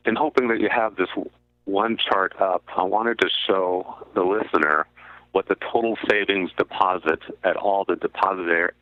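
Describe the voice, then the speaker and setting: A man speaking as a radio guest, explaining in deliberate, halting short phrases over a narrow call-in line. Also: sits low, no echo. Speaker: guest commentator on a radio show. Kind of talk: explanatory talk about finance. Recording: muffled narrow phone-like line.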